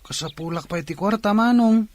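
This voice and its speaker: With faint noise behind, a man acts a younger sibling in a poor village household for a radio drama. The voice is very high for a man, fretful and pleading. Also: brisk, a little mellow, lightly acted. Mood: plaintive.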